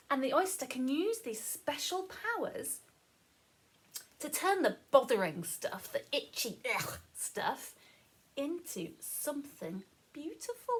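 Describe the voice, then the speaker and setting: A woman reading a story aloud to children as a teacher, explaining as she goes in an expressive, sing-song manner with questions to the listeners. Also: high-pitched.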